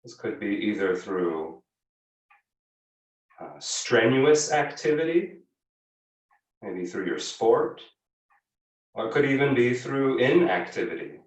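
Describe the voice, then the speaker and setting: Slow-paced male yoga teacher, echoey room. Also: mid-range voice, big pitch swings. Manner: measured, gentle instructing with frequent pauses. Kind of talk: guided yoga class instruction. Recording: echoey room, distant microphone.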